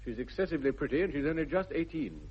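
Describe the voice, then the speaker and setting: Earnest upper-class English young gentleman, animated and emphatic, muffled vintage radio play recording. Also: fairly high pitch, slightly rough. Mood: brisk, defensive. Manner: brisk, emphatic, theatrical. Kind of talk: 1960s BBC radio comedy acting.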